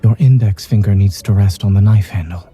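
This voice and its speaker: An actor playing a reserved, composed doctor in a video game, calm, measured and precise, gently teasing. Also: male voice, fairly low pitch, lightly acted. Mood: gentle, instructive. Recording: music under the voice.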